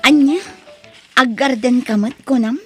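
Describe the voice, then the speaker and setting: A woman's voice: high-pitched, measured pace, music in the background. A woman playing a mother who keeps questioning her son with doubt. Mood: doubtful. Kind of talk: radio drama acting.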